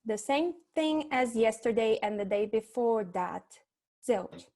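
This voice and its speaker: A private eye's female assistant acted by a woman in a comic radio play. She has a fairly high voice and a sassy, no-nonsense way of talking. Her lines come out dry and unimpressed. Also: lively intonation, measured pace, dark tone. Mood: deadpan. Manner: dry, unimpressed, matter-of-fact. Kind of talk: humorous noir radio play acting.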